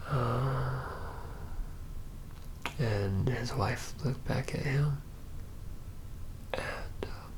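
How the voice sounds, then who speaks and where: A man playing a reluctant, nervous young vampire in a hushed ASMR roleplay, telling his story haltingly in a breathy whisper and low murmur. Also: slight background noise, poor audio. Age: young adult. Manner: halting, hesitant, trailing off.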